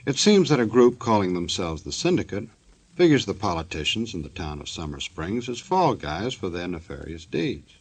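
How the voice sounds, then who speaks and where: Husky, wry low-voiced radio announcer, male. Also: very animated, normal speed, a little mellow. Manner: tongue-in-cheek, mock-formal. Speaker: announcer-narrator. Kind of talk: wry scripted 1950s radio narration.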